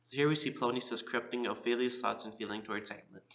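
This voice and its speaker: Male college student reading a scripted literary presentation, forceful bright voice, dull lo-fi sound. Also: mid-range voice, steady tone, fast, gravelly.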